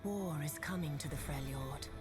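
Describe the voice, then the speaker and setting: An actress playing a cold, menacing ancient ice sorceress who narrates a cinematic game trailer in a slow, ominous, deliberate manner. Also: female voice, low-pitched, lightly acted. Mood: ominous, solemn. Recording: game trailer with loud music bed. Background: loud cinematic music.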